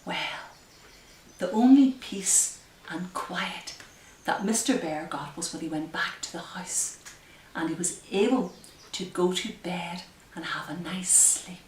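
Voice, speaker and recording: A woman hosting story time for small children as a librarian, speaking gently, asking them questions and leading into simple rhymes. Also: fairly low pitch, slow, a little hiss or hum. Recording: roomy echo, distant microphone.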